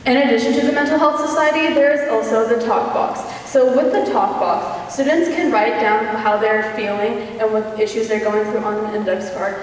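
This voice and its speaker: A woman's voice: a little high, normal speed, few pauses. A teenage female student giving a rehearsed presentation on stage in a large hall. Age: teens. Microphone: far camera. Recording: very echoey hall, distant, faint noise.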